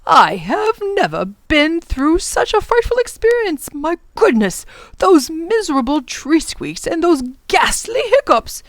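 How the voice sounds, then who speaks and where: A kindly but easily flustered storybook professor acted out by a woman reader. He exclaims in fussy, old-fashioned phrases, swooping up and down in pitch, in a dry close recording. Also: sing-song, a little slow, no echo, full character voice. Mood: shaken.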